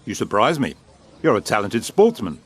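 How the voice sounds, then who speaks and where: An actor playing an urbane, evasive British establishment gentleman who speaks formally and with measured insinuation in an audio drama. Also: lowish voice, wildly swooping pitch, slightly rough, exaggerated voice. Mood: genial, smooth.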